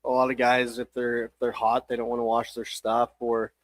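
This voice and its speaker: A man speaking as a baseball coach, giving casual, measured interview answers with hesitant phrasing. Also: mid-range voice, completely flat delivery, no room sound.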